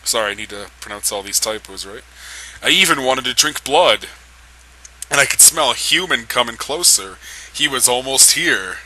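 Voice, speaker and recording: A man's voice: mid-range voice, expressive, moderate pace, pushed and bright, a little hiss or hum, rough recording. A man giving a dramatic reading of amateur fan fiction, amused and often struggling to keep from laughing. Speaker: reader of a comedic fan fiction read-aloud.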